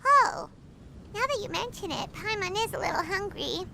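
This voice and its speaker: A woman voicing a small, childlike, chirpy food-loving companion in an animated fantasy game. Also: very high voice, moderate pace, creaky, a little hiss or hum, full character voice, rough recording. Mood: cheerful, eager. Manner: refers to self in third person, exclamatory. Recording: music under the voice.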